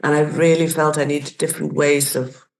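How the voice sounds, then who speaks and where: A woman's voice: sits low, level tone, normal speed, vocal fry, a little mellow, a little hiss or hum, poor audio. A woman in her sixties from Iceland, telling her life story thoughtfully as a podcast guest. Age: 60s. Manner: thoughtful, restarts and searches for words. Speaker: guest, community facilitator. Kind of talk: reflective personal story.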